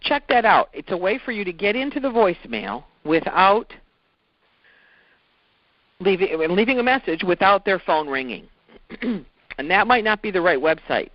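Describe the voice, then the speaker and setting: A man's voice: high voice, big pitch swings, normal speed, long pauses, no echo. A man giving an informal instructional talk as a sales trainer, explaining things step by step in a conversational way and repeating key points. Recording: dull, lo-fi audio.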